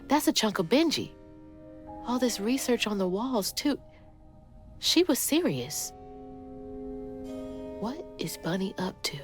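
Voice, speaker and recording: A young Southern woman talks in an earnest, plain-spoken and determined way. Her pitch moves a lot, and music plays clearly behind her in this game cutscene. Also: sing-song, takes it slowly, long pauses. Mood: curious, uneasy. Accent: American South. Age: young adult. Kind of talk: video game cutscene acting. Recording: loud music under the voice.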